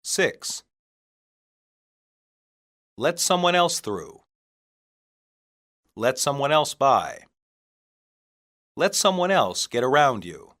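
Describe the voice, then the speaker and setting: A man reading scripted English practice phrases for learners, deliberately and in separated phrases. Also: medium pitch, big pitch swings, fairly bright tone. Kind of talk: scripted language-lesson reading.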